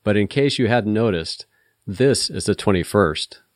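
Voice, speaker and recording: A man's voice: on the low side, expressive, a little slow, dry recording, studio quality. A man voicing a playful, teasing and bossy female secretary in an audiobook story.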